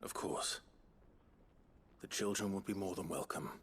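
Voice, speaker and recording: An actor playing a stoic, steadfast heroic lord in a video game, measured and grave, with a hushed delivery. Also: male voice, low voice, fairly even intonation, a little hiss or hum. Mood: calm resolve. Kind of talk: dramatic video game acting. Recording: loud music behind.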